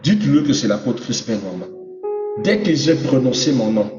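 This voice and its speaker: Fervent preacher in echoing hall. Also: male voice, lively intonation, normal speed. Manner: fervent, rising to high emphatic peaks, addressing the congregation. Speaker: apostle preaching. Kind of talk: sermon teaching with personal testimony. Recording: roomy hall reverb, distant mic, dull sound, music behind.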